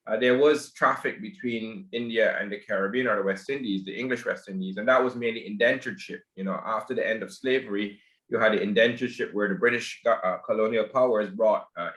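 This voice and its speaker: A man's voice: on the low side, a bit bright. A man from Barbados, speaking as a guest in a podcast interview, often hesitant and repeating his words. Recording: echoey room.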